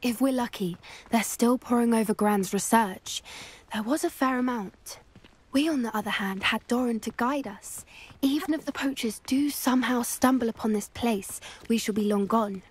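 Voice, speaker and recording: A woman voicing an earnest, gentle teenage schoolgirl who loves animals, in a sincere video game performance. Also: a little high, moderate pace, slightly rough. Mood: confident.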